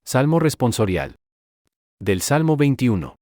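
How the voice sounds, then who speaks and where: A man reading a psalm aloud in a measured, declaiming way. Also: mid-range voice, sing-song, darkish tone. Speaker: reader.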